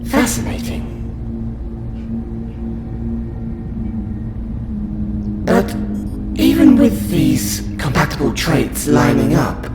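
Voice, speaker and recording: A performer with a woman's voice playing a courteous, curious alien scientist in an audio roleplay, questioning a human in formal, clinical wording. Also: mid-range voice. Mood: fascinated, puzzled. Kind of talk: audio roleplay monologue to the listener. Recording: roomy echo under loud music.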